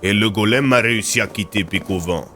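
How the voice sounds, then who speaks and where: Deadpan soldier, played by a man, low voice, audio drama, faint music. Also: fairly quick. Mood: matter-of-fact. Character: dry-humoured Russian soldier. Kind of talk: audio fiction character voice.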